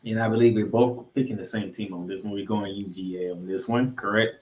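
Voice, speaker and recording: A man's voice: on the low side, steady tone, a little fast, darkish tone. Echoey male sports podcast host. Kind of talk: college football game previews and picks. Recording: roomy, echoey sound.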